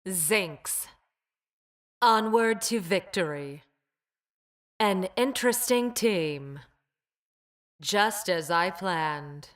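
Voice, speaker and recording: A woman playing a cold, logical former combat medic in a video game, with clipped, detached, deliberate delivery. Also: lowish voice, animated. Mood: calm, resolute. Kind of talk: short scripted game voice lines.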